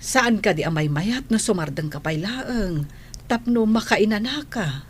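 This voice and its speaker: A woman playing a concerned, gently questioning nanny in a radio drama. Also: mid-range voice, lively intonation, unhurried, a little hiss or hum, close to an everyday voice. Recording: music under the voice.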